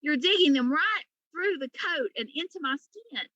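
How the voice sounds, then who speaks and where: A woman's voice: sits high, expressive, moderate pace, bright, forceful tone, dry recording, muffled recording, pitched above the performer's own voice. A woman voicing a plucky, kind young female pig in a storybook, with an earnest, eager manner. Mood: protesting.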